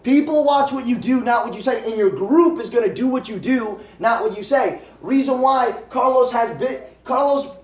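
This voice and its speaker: A man's voice: very high-pitched, moderate pace, few pauses. Young man giving a joking pep talk. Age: 20s. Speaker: network marketing presenter. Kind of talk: motivational talk to a home meeting. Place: packed living room. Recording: echoey room, voice from far off.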